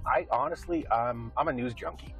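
A man's voice: mid-range voice, normal speed, a bit bright, soft background music, rough recording. A middle-aged man with a Canadian accent, answering interview questions in an earnest, rambling conversational way.

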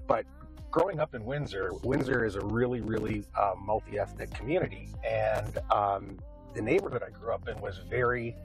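A man's voice: on the low side, poor audio. A middle-aged man with a Canadian accent, answering interview questions in an earnest, rambling conversational way.

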